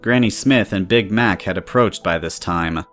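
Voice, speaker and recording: A man's voice: sits low, normal speed, a bit bright, soft background music. A man reading scripted story narration in the third person.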